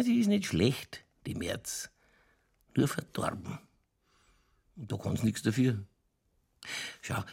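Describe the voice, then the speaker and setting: Gravelly, husky, tipsy Bavarian police chief. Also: male voice, medium pitch, darkish tone, exaggerated voice. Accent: Lower Bavarian. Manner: rambling, folksy, crude, tipsy. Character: drunken, ranting, world-weary Bavarian police chief. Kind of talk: naturalistic radio crime drama dialogue.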